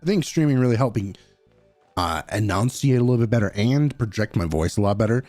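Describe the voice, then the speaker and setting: Young guy streaming, deep-ish voice, kinda mumbly and laid back, dry sound, faint music under it. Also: animated, brisk, darkish tone, no room sound. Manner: mumbly, low-key. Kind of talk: casual live-stream chat.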